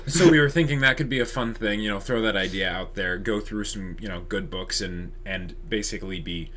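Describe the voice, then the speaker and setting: A man co-hosting a channel, chatting casually in halting, loosely phrased sentences. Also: on the low side, a bit bright, a little hiss or hum. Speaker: channel co-host. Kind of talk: casual chat.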